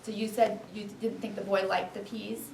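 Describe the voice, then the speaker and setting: A woman teaching young children, reading a storybook aloud expressively and giving clear, animated instructions. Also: medium pitch, moderate pace. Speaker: first-grade teacher. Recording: echoey classroom, distant, background noise.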